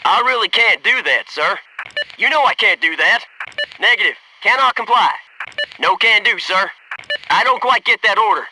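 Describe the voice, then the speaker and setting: A man's voice: high-pitched, expressive, normal speed, background noise. A male actor playing a loyal, wisecracking special forces soldier in a military shooter game, speaking in clipped military phrases. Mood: businesslike.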